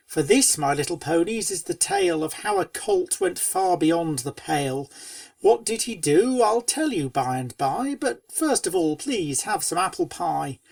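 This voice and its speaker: A woman's voice: lowish voice, vocal fry, a little mellow, no room sound, distant mic, exaggerated voice. A woman playing a pony storyteller who tells a spooky storybook tale in verse to young foals, with dramatic, schoolteacherly delivery. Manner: dramatic, schoolteacherly, chiding asides. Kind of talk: theatrical storybook narration in verse.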